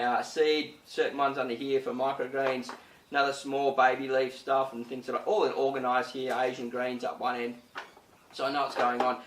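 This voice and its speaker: A man hosting a casual gardening tutorial, chatty and full of fillers, his voice slightly croaky. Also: medium pitch, fairly bright tone, reverberant, distant mic, slight background noise. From Australia. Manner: chatty, many "uh" and "you know" fillers. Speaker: gardening channel host.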